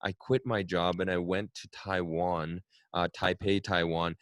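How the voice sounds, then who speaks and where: Brief: male host, 30s, Cantonese native, very low voice, dry close sound, musing and chatty. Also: little pitch movement, a little slow, fairly bright tone, no room sound. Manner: reflective, frequent "right?" tag questions. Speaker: podcast host. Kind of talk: casual conversational interview.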